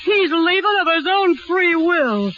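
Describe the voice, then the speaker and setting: Tough brash carnival gal, 1930s radio drama, high pitched, lots of noise on it. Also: female voice, animated, unhurried, sharp tone, exaggerated voice. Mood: defiant. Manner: slangy, brash, colloquial. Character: tough, defiant young carnival woman, secretly married. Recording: muffled, noisy 1930s radio recording.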